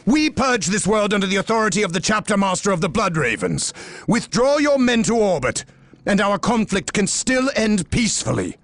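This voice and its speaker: A man playing a resolute armored space warrior captain in a video game, formal and declamatory, with a husky, gravelly voice. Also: high-pitched, very animated, moderate pace, fairly bright tone, no room sound. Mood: solemn warning. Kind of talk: dramatic video game acting.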